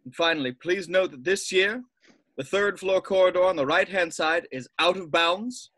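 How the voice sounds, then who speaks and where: A man playing a formal old wizard headmaster, giving measured announcements in a script read. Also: sits high, a bit bright, no room sound. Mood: formal, solemn. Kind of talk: table read of a film script.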